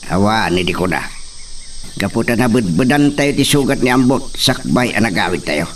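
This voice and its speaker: A man's voice: on the low side, expressive, moderate pace, distant mic, slight background noise, lightly acted. A man playing a firm, commanding and protective father in an Ilocano drama, with a Filipino Ilocano accent. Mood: grave, decisive. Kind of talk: Ilocano radio-style drama acting.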